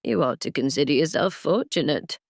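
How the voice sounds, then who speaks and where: A woman voicing a formal, dryly exasperated male household retainer in an audiobook romance, chiding with deliberate phrasing. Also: low voice, expressive, no room sound, studio quality, voice pushed down. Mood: dry, reproving.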